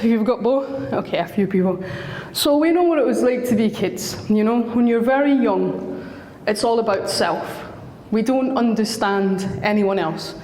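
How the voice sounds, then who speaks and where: A woman's voice: mid-range voice, a little mellow, a little hiss or hum. Scottish lady counsellor chatting to parents, bit of a sore throat, big echoey hall. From Scotland. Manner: friendly, chatty. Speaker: school counsellor. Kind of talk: talk to parents.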